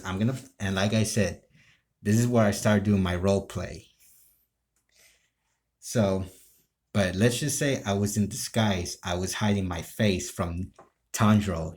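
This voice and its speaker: A man telling an improvised story casually to camera, in a halting, rambling way that often breaks off. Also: fairly low pitch, darkish tone. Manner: halting, rambling, self-interrupting. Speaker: amateur role-play video creator.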